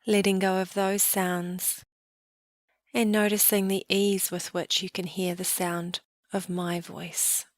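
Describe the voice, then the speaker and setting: A woman leading a guided meditation in a calm, gentle way, with soft trailing phrases. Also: mid-range voice, takes it slowly, no echo. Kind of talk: guided meditation, slow soothing narration.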